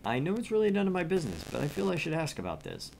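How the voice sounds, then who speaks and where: Animated man narrating a visual novel game. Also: a little high, very fast, gravelly, a little hiss or hum, rough recording. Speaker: co-host of a gaming stream, reading the game aloud.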